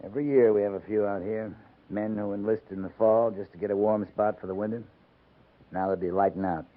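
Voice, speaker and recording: A man's voice: on the low side, fairly even intonation, phone-quality sound, lightly acted. Man playing a dry, seasoned cavalry captain, thin old radio. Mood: relaxed, wry. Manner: laconic, dry, unhurried. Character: wry, seasoned male frontier cavalry captain. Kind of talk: 1950s radio acting. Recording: thin band-limited 1950s radio recording.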